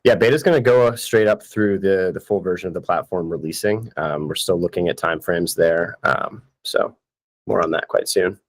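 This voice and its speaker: A man's voice: deep voice, normal speed, no room sound, poor audio. A man explaining his work as product owner in an upbeat, casual way. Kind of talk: casual chat.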